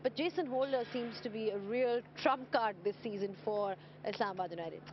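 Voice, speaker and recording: A woman presenting a pitch-side cricket interview, asking long, measured questions. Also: a little hiss or hum. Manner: measured, scripted-sounding, drawn-out questions. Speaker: sports presenter. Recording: slightly dull outdoor broadcast audio.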